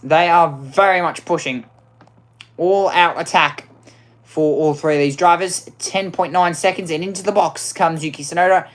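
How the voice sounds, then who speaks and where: A woman commentating a racing game live, excitable, often repeating and restarting phrases, her voice worn out. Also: on the low side, moderate pace.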